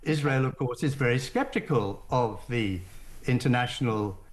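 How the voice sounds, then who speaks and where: An older man speaking as a radio interview guest, setting out his points in a formal, deliberate way. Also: mid-range voice. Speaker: guest, former diplomat.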